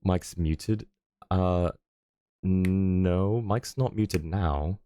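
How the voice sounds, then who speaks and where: A man with a very low, deep voice talks casually to his stream audience from a home setup. He rambles, filling gaps with ums and small chuckles. The sound is dry and close, with no room echo. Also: extremely slow, dark tone, smooth. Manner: rambling, lots of fillers and chuckles.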